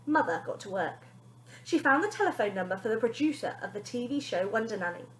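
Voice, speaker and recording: A woman teacher reading a children's story aloud in an expressive narrating style. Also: fairly high pitch, fairly quick, slight background noise. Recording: echoey room.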